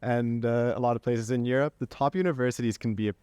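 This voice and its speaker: A man hosting a casual conversational interview, thinking aloud in a halting way. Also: mid-range voice, fairly even intonation, darkish tone, clean tone, no echo. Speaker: podcast host.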